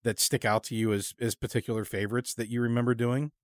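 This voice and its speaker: A man interviewing someone casually as host, in a halting way that repeats words while he searches for the next. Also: medium pitch, flat delivery, no echo, studio quality.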